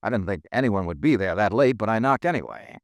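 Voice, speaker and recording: Wry insurance detective narrating an old radio mystery, low, lively, dry and close. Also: male voice, fast, background noise. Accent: American. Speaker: lead and narrator. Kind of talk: 1950s radio drama acting.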